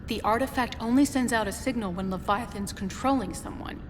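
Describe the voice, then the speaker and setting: A woman's voice: fairly high pitch, brisk, music in the background, natural voice. Woman playing a resolute scientist. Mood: insistent. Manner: resolute, insistent. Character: determined female scientist, grieving daughter. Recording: reverb and clear noise.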